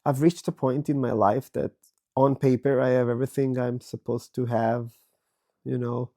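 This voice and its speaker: A man in his thirties from Israel, hoarse and raspy from a virus, reflecting slowly and candidly from his bed as a podcast host. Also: mid-range voice, little pitch movement, mellow. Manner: slow, thoughtful, candid, with many pauses. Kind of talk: personal reflective monologue. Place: bedroom, recording from bed.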